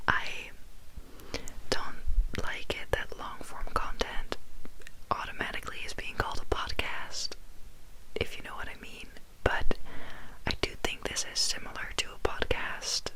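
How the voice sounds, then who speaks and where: A young adult woman from the Netherlands, a native Dutch speaker, whispering an ASMR chat from her bed, soft and meandering with many pauses and ums. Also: poor audio. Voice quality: full whisper, unvoiced. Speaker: ASMR YouTuber. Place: sitting on her bed indoors. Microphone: close mic, phone notes in hand.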